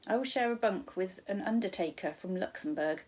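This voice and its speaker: A woman voicing a kindly, playful gentleman guardian from a storybook, wryly humorous and reassuring. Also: mid-range voice, brisk, slightly rough, lightly acted.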